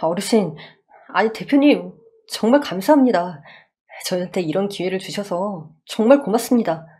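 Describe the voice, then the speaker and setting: A woman's voice: mid-range voice, lively intonation, moderate pace, mellow, close to an everyday voice. A woman voicing a humble, grateful working husband in a radio story, polite, hesitant and earnest.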